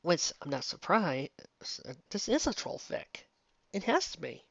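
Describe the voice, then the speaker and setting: A woman's voice: fairly low pitch, sing-song, unhurried, creaky, dry recording, highs cut off. A woman giving casual, unscripted fan fiction commentary, incredulous and exasperated, often stopping to rephrase and asking rhetorical questions.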